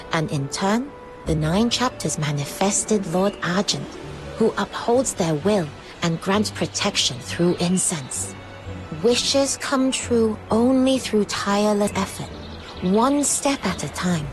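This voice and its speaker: Calm wise lore-keeper, mid-pitched, slow and gently instructive, game dialogue over faint music. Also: female voice, low-quality recording. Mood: serene, instructive. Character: calm, wise female guide explaining sacred lore. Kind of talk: video game character acting.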